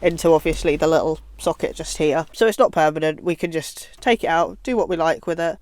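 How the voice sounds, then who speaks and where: A woman's voice: low-pitched, a little fast, a little mellow, no echo. A British woman giving a casual how-to chat as a vlog presenter inside a car.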